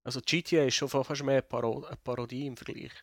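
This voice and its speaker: A man's voice: mid-range voice, fairly even intonation, dry recording, studio quality. A man, co-hosting a casual game review chat, speaking thoughtfully with frequent halts and restarts. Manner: thoughtful, halting, many restarts. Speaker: video game reviewer, co-host.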